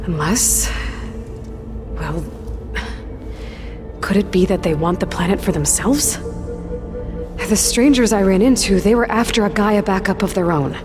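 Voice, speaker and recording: A woman's voice: mid-range voice, unhurried, pauses often, natural voice. A young woman playing a determined, questioning sci-fi adventurer in a video game, with an earnest, conversational delivery. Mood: thoughtful, concerned. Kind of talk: scripted video game cutscene dialogue. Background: background music.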